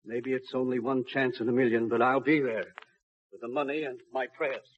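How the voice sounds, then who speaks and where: A man's voice: medium pitch, steady tone, brisk, lightly acted. Actor as a despairing, resolute father. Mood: bitter, resolute. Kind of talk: 1950s radio drama acting. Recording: muffled old radio recording, faint noise.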